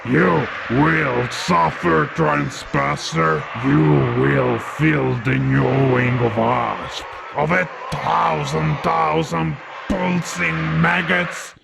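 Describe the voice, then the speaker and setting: Super gravelly orc villain, slow growl, pitch shifted, loud noise behind it. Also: male voice, fairly even intonation, full character voice. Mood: menacing. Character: menacing monstrous orc threatening torment.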